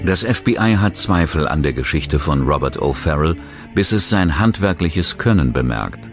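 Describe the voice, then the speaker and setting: Male narrator with a very deep voice for a true-crime documentary, reading over loud music. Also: expressive, moderate pace.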